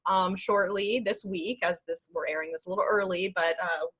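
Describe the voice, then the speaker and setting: A woman's voice: on the low side, fairly even intonation, moderate pace, fairly bright tone, breathy edge. A woman hosting a podcast interview in a casual, chatty way, with effusive enthusiasm. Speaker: podcast host interviewing a guest.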